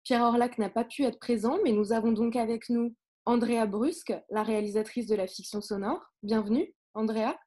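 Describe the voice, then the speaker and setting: A woman's voice: on the high side, steady tone, moderate pace, dark tone. Woman hosting a live event. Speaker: event host and moderator.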